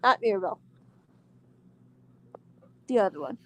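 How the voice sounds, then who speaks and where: A woman's voice: mid-range voice, expressive, measured pace, a bit bright, a little hiss or hum. A twenty-year-old woman chatting casually and profanely, tired after work, calling friends bestie. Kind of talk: casual stream chat.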